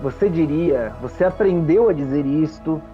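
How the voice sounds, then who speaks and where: A man narrating a tabletop game as game master, theatrical and full of deliberate pauses. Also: fairly high pitch, highs cut off, faint music behind.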